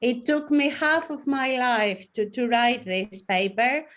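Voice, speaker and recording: A woman's voice: fairly high pitch, takes it slowly, a bit bright. A middle-aged woman with a Greek accent giving candid, self-mocking advice on a video call. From Greece. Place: room at home, indoors.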